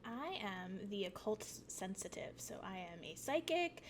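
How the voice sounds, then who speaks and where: A woman's voice: medium pitch, big pitch swings, measured pace. Give me a woman introducing her character at a tabletop game, bubbly and offhand. A faint hiss sits behind her voice. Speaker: player, regular of the channel.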